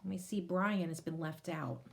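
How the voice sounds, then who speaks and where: A woman's voice: on the low side, normal speed, creaky. A woman reading a picture book aloud to children, explaining it and addressing young listeners directly as a teacher would. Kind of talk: expressive storybook read-aloud with asides to children.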